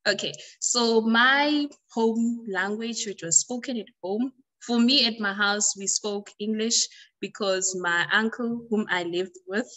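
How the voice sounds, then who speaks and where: A South African woman answering interview questions conversationally, explaining and often rephrasing to make her point clear. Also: fairly high pitch, a little slow, bright, forceful tone, poor audio. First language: Xhosa. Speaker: language tutor being interviewed.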